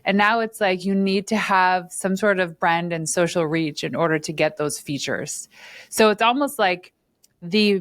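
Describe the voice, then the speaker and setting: A woman from Northern Ontario hosting an interview podcast, chatty and quick to laugh, with plenty of fillers. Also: fairly low pitch, dry recording, clean studio sound. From Northern Ontario, Canada. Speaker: podcast host. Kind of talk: casual interview chat.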